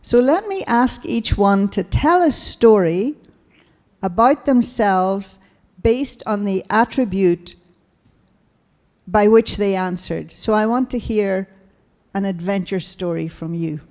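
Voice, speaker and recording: A woman moderating a spiritual panel in a meditation hall, speaking in a slow, measured and reflective way with light laughs. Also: medium pitch, darkish tone.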